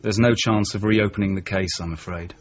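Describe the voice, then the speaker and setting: An actor playing an earnest, steady detective sergeant in a radio crime drama, measured and questioning in manner. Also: male voice, on the low side, a little hiss or hum, lightly acted. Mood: kindly. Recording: muffled 1980s radio drama recording.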